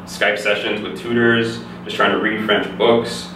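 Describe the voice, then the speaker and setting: A young man from Canada, a physiotherapy student, explaining things in a steady, easygoing way in an interview. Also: on the low side, measured pace. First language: English. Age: young adult. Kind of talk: casual interview answers. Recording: echoey room, distant mic, background noise.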